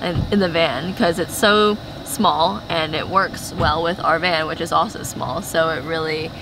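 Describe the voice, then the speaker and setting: Low-voiced young woman, noisy vlog. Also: fairly even intonation, a little slow. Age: 20s. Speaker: travel vlogger. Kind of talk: casual vlog chat. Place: small camper van and open beach. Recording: clear background noise, on-location camera audio.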